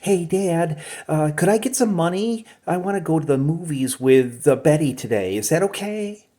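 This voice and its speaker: Man as radio-comedy teenage boy, youthful, light, higher-pitched, polite, hesitant, pleading. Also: lively intonation, normal speed, warm tone. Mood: hopeful, pleading. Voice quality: light, toned down, with little bass. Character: youthful, polite teenage boy asking his dad for money. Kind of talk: old-time radio comedy acting.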